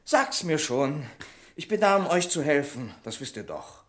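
An actor playing Maurice, a gruff, loyal, protective friend, in an urgent, coaxing way in a German radio crime drama. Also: male voice, a little high, very animated, normal speed, a bit bright. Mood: concerned, coaxing.

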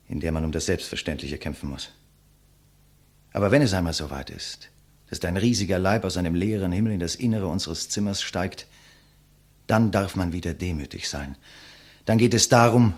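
A doomed idealist in an old radio drama, deep husky voice, solemn and rhetorical. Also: male voice. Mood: impassioned. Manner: solemn, rhetorical, impassioned declamation. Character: condemned man, idealistic intellectual, weary and resigned facing his executioner. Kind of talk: German radio play acting, 1950s.

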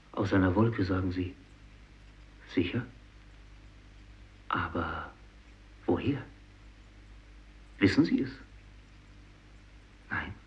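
A man playing a dying, haunted Japanese fisherman in a radio play monologue, deliberate and insistently addressing his listener. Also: on the low side. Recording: dull vintage radio recording.

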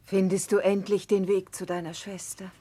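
A woman's voice: medium pitch, little pitch movement, moderate pace, mellow, close to an everyday voice. An actress playing a nobleman's concerned, admonishing married sister in a 1960s German radio drama, genteel in manner.

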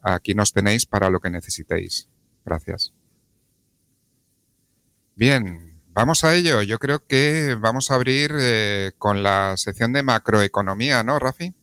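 A man's voice: on the low side, unhurried, a bit bright, clean tone, no echo. A man co-hosting and presenting a community radio programme. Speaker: radio co-host.

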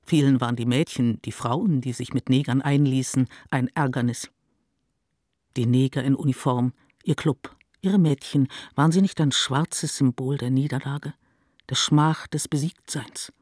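A man's voice: medium pitch, steady tone, moderate pace, darkish tone, dry recording, studio quality. A man narrating a literary radio drama in a scripted style.

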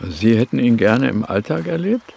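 An actor playing a brooding man looking into his brother's past, speaking in a measured, reflective, literary way in a radio play. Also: mid-range voice, sing-song, gravelly, a little mellow, a little hiss or hum. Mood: inquiring. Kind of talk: radio play narration and dialogue.

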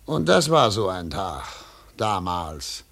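An actor playing a sardonic, probing questioner in a German radio play, speaking deliberately. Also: male voice, low voice, very animated, vocal fry, a bit bright, exaggerated voice. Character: sardonic, probing male interviewer questioning a former official.

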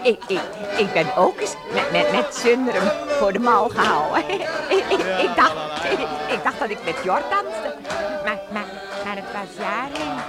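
A woman's voice: on the high side, sing-song, unhurried. An actress playing a flustered, stammering, giggly woman at a village dance in a radio play. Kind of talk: Dutch radio play acting. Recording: loud music and crowd behind.

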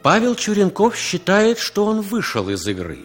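An actor as a solemn, declamatory narrator in a 1960s radio drama, putting rhetorical questions. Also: male voice, sits high, wildly swooping pitch, moderate pace, full character voice. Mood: impassioned. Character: solemn, dramatic narrator of a Cold War story. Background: music.

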